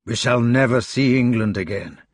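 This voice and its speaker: A man's voice: medium pitch, normal speed, no room sound, studio quality, natural voice. A man voicing a wounded, tearful Scottish knight who speaks in strained, despairing tones. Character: wounded, weeping burly male Scottish knight.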